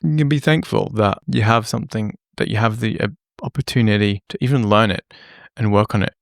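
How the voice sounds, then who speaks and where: A man talks to camera in a loose, rambling way, often putting himself down and apologising as he goes. His lowish voice is gravelly and a little croaky from being unwell. The sound is dry and close, with little room around it. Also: fairly quick, dry recording. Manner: self-deprecating, rambling, apologetic. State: slightly croaky from illness. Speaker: music producer and vlogger. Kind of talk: rambling casual talk to camera. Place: small home room lit by a lamp. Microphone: camera-facing setup at a desk.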